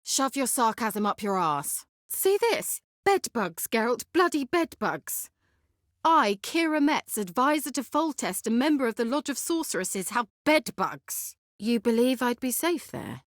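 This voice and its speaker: Snooty flirty sorceress from a fantasy game, sarcastic, super lively pitch, dry close sound. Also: female voice, a little slow, dry recording. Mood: exasperated. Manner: haughty, teasing, biting sarcasm. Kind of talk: video game character dialogue.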